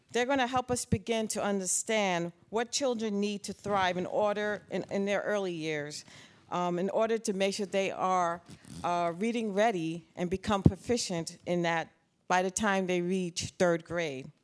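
A woman with a smooth, clear voice at medium pitch. She opens the event and introduces the panel in a formal, slightly halting manner. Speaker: event host.

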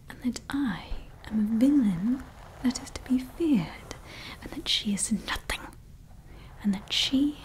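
A woman's voice: fairly high pitch, darkish tone, slight background noise, natural voice, rough recording. A woman voicing a scheming evil female scientist in an ASMR roleplay, whispering throughout and rambling with fillers. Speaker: ASMR creator.

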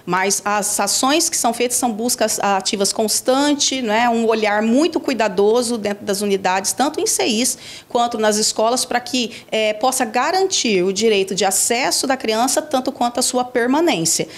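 A woman in her forties, director of a school enrollment office, answering a reporter's questions in a measured, explanatory way with frequent fillers, indoors on a handheld mic. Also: a little high. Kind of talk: news interview answers.

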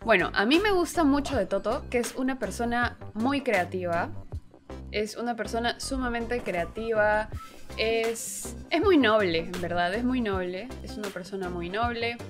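A woman's voice: on the high side, expressive, normal speed. A young woman co-hosting a channel, talking in casual unscripted chat. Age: young adult. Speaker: co-host of a two-person channel. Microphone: wired earphones. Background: background music.

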